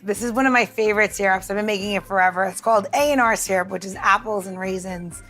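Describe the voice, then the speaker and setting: A woman's voice: medium pitch, quick pace, a bit bright, faint music behind. A woman hosting a podcast who chats bluntly and breezily, then walks listeners through a cocktail syrup recipe. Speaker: podcast host, cocktail presenter. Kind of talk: casual chat and recipe walkthrough.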